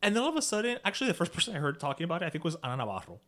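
A man's voice: on the high side, huge pitch swings, fairly bright tone. A man co-hosting a casual podcast chat, talking in a Cuban American accent and slipping Spanish phrases into his English. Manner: quick, chatty, drops into Spanish. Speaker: podcast co-host.

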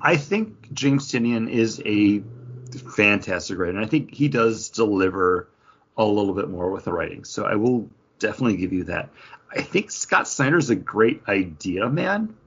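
Male podcast co-host, low and raspy register, rambling conversational review style, dull lo-fi audio. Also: expressive, normal speed. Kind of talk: casual chat, book review.

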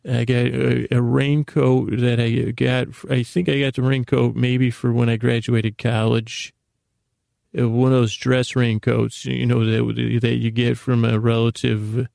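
Male podcast host, middle-aged, gravelly fry, halting lulling bedtime rambles, dry intimate recording. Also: medium pitch, little pitch movement, darkish tone, no room sound. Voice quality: gravelly, creaky. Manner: meandering, halting, repeats and trails off; lulling, soothing, friendly tones. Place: small closet full of clothes, indoors.

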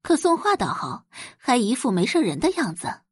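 A woman narrates a scripted romance audio drama. Her voice is fairly high with a husky edge, very expressive in pitch as she tells the story. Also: normal speed, no room sound, studio quality.

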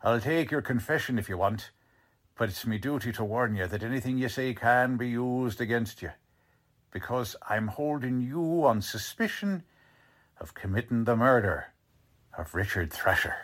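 A man's voice: mid-range voice, lively intonation, moderate pace, close to an everyday voice. A man playing a stubborn, cocksure working-class police lieutenant in an old-time radio drama, talking in tough, ungrammatical slang. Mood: stern.

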